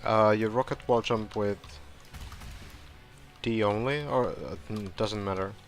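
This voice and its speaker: A man with a fairly low voice walks through a game level in a loose, rambling way, full of fillers and restarts. His voice is getting hoarse. Faint music plays under a desk-mic recording. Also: a little slow. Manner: relaxed, rambling, with frequent fillers and self-corrections. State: hoarse, clearing his throat. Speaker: gameplay tutorial streamer. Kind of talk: casual gameplay walkthrough commentary.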